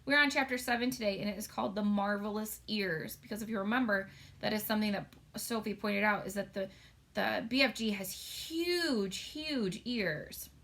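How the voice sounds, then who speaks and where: Bright-voiced woman teacher reading aloud. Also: medium pitch, moderate pace. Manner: chatty, explanatory, addressing young pupils. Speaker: elementary school teacher. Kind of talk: casual children's read-aloud.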